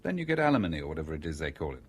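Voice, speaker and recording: An actor with a British accent playing an inquisitive, composed engineer in a radio drama, urbane and conversational. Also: male voice, a bass voice, big pitch swings, rapid-fire, breathy edge, heavily acted. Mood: probing. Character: inquisitive, coolly composed stranger, a male engineer.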